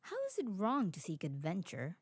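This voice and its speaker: A woman's voice: fairly low pitch, big pitch swings, moderate pace, darkish tone, breathy edge, dry recording, clean studio sound, heavily acted. A woman playing a curious, adventurous, newly turned vampire in an ASMR roleplay drama, speaking in a genteel, formal way. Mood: polite, curious. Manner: genteel, formal, lingering delivery.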